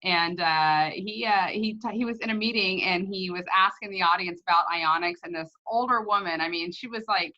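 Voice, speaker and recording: Woman, very bright forceful voice, enthusiastic, informal host chat, dull lo-fi sound. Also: fairly low pitch, normal speed, hardly any pauses. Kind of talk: casual chat, product testimonial.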